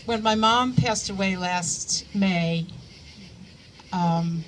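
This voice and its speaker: A woman in her sixties speaks at a memorial for a coworker. She talks in a halting way, with frequent pauses and small fillers, at a middling pitch, and a faint hiss sits under her voice. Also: low-quality recording. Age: 60s. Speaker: coworker giving a eulogy. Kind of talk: memorial remarks.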